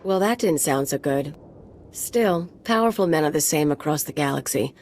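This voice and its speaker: A cynical female mercenary in a sci-fi game. Her voice is low for a woman, with a dry, sardonic and easygoing delivery. Light background ambience is audible. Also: expressive, moderate pace, a little mellow, a little hiss or hum. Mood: wry, sarcastic. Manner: sardonic, casual. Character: wry, cynical, streetwise female mercenary sidekick. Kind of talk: video game voice acting.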